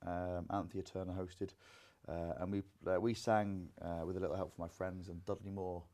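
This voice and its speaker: A man's voice: sits low, little pitch movement, moderate pace, warm tone. A young man from Grimsby, a comedian and singer, chatting casually in an interview with lots of fillers. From Grimsby, England. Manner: rambling, many fillers.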